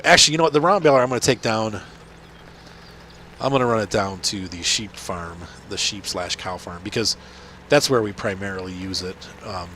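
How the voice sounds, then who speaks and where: A man's voice: deep voice, sharp tone, a little hiss or hum. A middle-aged man with a cold that makes him sound nasal, chatting casually and rambling as he plays a farming game. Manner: rambling, chatty, many fillers and restarts. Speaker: gaming channel host. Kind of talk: casual commentary while playing.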